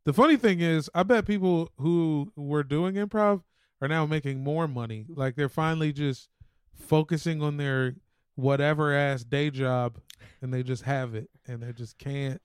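A man's voice: mid-range voice, measured pace, clean tone, no echo, studio quality. An American man co-hosting a podcast with slangy, sweary, joking banter. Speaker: podcast co-host. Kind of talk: casual comedic banter.

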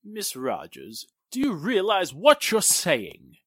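A man acts out an indignant, lovestruck gentleman in an old-style radio play. His delivery is slow and emphatic, swinging dramatically in pitch, with a husky, forceful edge. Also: huge pitch swings, dry recording, studio quality, exaggerated voice. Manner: theatrical, emphatic. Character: earnest, lovestruck male suitor, indignant and dramatic.

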